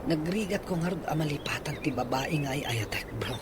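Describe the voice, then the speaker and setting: A man's voice: a little high, lively intonation, a little fast, noisy background, close to an everyday voice. An actor playing a heartbroken young man in radio drama style, with an Ilocano accent. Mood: heartsick. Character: heartbroken young man pining after a woman.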